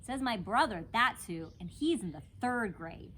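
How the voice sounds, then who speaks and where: A woman's voice: a little high, expressive, measured pace, fairly bright tone. A woman voicing a bossy, know-it-all little girl classmate in a children's storybook, scolding and stubborn. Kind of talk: children's storybook read-aloud.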